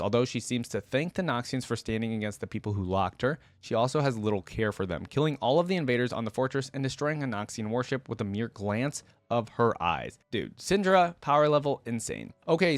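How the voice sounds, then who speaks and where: A man's voice: mid-range voice, dry recording. Chatty man, very dry close sound. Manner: informal, chatty, addresses viewers directly. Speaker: reaction video host. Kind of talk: casual commentary mixed with reading lore aloud.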